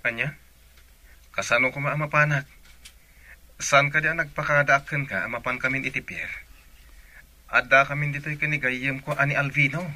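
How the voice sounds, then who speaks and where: An actor playing an affectionate, reassuring young sailor in a radio drama. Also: male voice, medium pitch, steady tone, moderate pace, long pauses, bright, forceful tone, a little hiss or hum. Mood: indignant.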